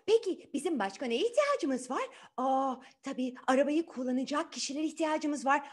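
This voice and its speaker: A woman's voice: high-pitched, lively intonation, moderate pace. A woman voicing a kindly, bustling fairy godmother in a children's tale, exclaiming, instructing and chanting spells. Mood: busy, scheming. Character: kindly, bustling female fairy godmother, excitable magic-worker.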